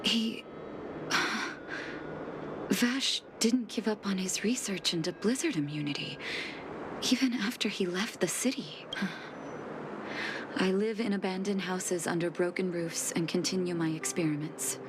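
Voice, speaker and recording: An actress voicing a composed, determined woman who is a doctor and leader, speaking in a measured, thoughtful way with soft sighs, with game music behind her. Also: on the low side, noisy background, close to an everyday voice. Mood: resolute. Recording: studio voice-over with game music.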